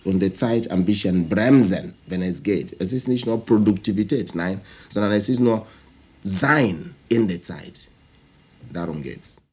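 A man's voice: lively intonation, unhurried, a little mellow, slightly rough. A man from Nigeria whose first language is Igbo, speaking with a Nigerian accent in an emphatic way, searching for words as he speaks. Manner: emphatic, searching for words, with big pitch swings. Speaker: theology professor. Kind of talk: radio interview statement.

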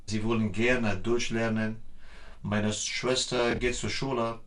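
Young Afghan-accented man, halting speech. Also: fairly low pitch, level tone, fairly bright tone. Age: 20s. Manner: halting, repeats words. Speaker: interviewee. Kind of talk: personal interview testimony.

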